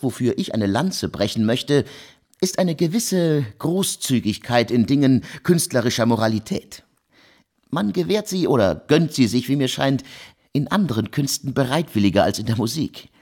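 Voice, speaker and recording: An actor playing an ironic, eloquent intellectual man who argues in a deliberate, rhetorical way in a radio play. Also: medium pitch. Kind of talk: German radio play dialogue.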